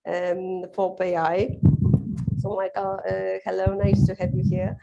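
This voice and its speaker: A woman's voice: medium pitch, level tone, unhurried, creaky, warm tone, muffled recording, a little hiss or hum. A woman moderating a conference panel, speaking hesitantly with many fillers as she puts questions to a guest. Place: conference stage.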